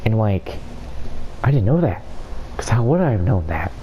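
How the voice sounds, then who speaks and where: A man's voice: lowish voice, big pitch swings, moderate pace, muffled recording. A man giving casual, off-the-cuff commentary while playing through a video game. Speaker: gaming YouTuber. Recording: faint background noise.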